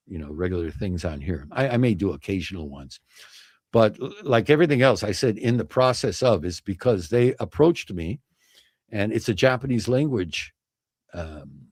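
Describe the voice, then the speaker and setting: Low-voiced older man chatting casually. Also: a little mellow, dry recording, studio quality. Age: older, over 60. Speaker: livestream host. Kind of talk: casual livestream chat.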